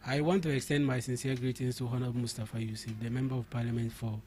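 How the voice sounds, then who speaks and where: A man's voice: medium pitch, little pitch movement, quick pace, a little mellow, slight background noise. A young man with a Ghanaian English accent, a guest on a radio talk show, arguing his points emphatically and often repeating words as he builds them. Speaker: studio guest.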